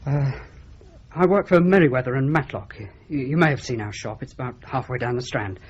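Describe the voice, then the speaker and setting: A man's voice: mid-range voice, fairly quick, husky, close to an everyday voice. An actor playing a hesitant, nervous shop assistant who stumbles over his words. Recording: dull lo-fi audio with faint ambience.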